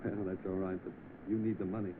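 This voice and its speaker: An actor playing an eager, hard-up young job seeker in 1930s film style. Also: male voice, sits low, little pitch movement, fast, close to an everyday voice. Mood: polite, downcast. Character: eager, hard-up young man seeking work. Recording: noisy narrow-band old film soundtrack.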